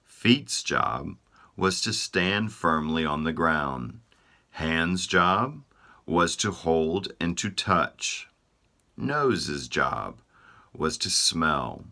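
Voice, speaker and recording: A man reading a children's folktale aloud, slow and expressive. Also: creaky. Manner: slow, lively storytelling, voicing body parts in raised pitch. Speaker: storybook reader.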